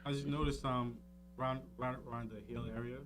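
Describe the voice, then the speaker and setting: Casting: male interview guest, medium pitch, informal and upbeat, clear guitar music behind. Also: level tone, quick pace. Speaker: guest vendor being interviewed. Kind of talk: casual interview chat.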